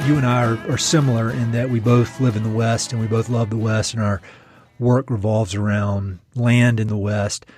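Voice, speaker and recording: A man's voice: lowish voice, level tone, moderate pace, music in the background. A middle-aged man hosting a podcast, reading a scripted intro and then chatting with a guest in an easygoing way. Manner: easygoing, conversational. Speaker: podcast host.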